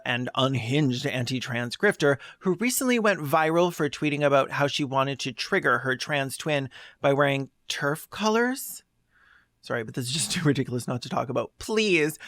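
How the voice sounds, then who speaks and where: A video essayist delivers scathing commentary with a sarcastic, blunt edge. The pitch sits in the middle, and the recording is dry and close. The delivery moves between scripted narration and pointed asides. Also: lively intonation, normal speed, a bit bright, no room sound.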